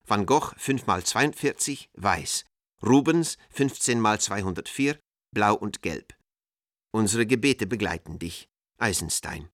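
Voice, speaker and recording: A composed businessman reading out his letters in a radio play. The voice is fairly low and very dry. He is measured and formal, setting down each item deliberately. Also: expressive, a bit bright, no echo. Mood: measured, cordial. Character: composed, pointedly cordial businessman dictating coded letters to a friend.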